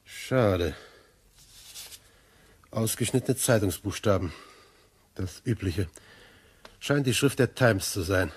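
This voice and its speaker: An actor playing a probing, dry Scotland Yard inspector in a German crime radio play, matter-of-fact and authoritative. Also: male voice, on the low side, expressive, takes it slowly, long pauses, a little hiss or hum.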